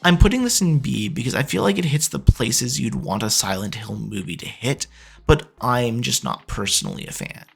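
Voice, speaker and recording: A man's voice: medium pitch, big pitch swings, normal speed, creaky, husky, soft background music. A man giving opinionated, emphatic review commentary as a video host.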